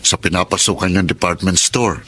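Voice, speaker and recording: An actor playing a confident, smooth-talking young suitor in a Tagalog romantic radio drama. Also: male voice, sits low, steady tone, moderate pace, sharp tone. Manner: self-assured.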